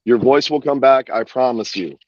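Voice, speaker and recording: A man co-hosting a fan podcast, rallying listeners to get loud and support the team. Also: mid-range voice, moderate pace, no echo, muffled recording. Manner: rallying, hype-up encouragement. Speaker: podcast co-host. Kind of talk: casual fan podcast chat.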